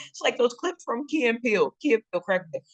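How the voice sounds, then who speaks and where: A relationship educator with a deep, booming chest voice, giving a lively instructional talk. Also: normal speed. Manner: lively, breaks into acted quotes and laughs.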